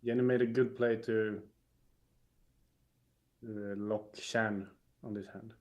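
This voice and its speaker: A man's voice: on the low side, little pitch movement, slow, lo-fi sound. Swedish man explaining bridge play. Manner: thoughtful, explanatory. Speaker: bridge expert co-commentator. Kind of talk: analytical live commentary.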